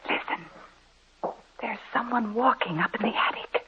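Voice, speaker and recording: Tinny, high-voiced anxious wife played by an actress. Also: female voice, normal speed, lightly acted. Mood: frightened, insistent. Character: anxious young wife, jumpy in a haunted house. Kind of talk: 1940s radio horror acting. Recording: noisy, tinny old radio recording with clicks.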